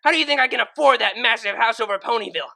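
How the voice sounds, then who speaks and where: A reader voicing a grown female pegasus turned cruel, who yells at a young pony with bitter fury in a fan fiction audiobook. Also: mid-range voice, rapid-fire, vocal fry, voice pushed up. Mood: furious. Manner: furious yelling, bitter and cold. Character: adult mare pegasus turned ruthless, screaming with hate.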